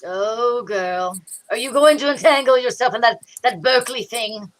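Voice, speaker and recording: A fussy, fretful fellow played by a woman with a fairly high voice. He speaks in florid, melodramatic phrases, a theatrical sidekick from an old radio mystery. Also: normal speed, lightly acted. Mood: queasy, dramatic. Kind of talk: 1940s radio comedy-mystery acting.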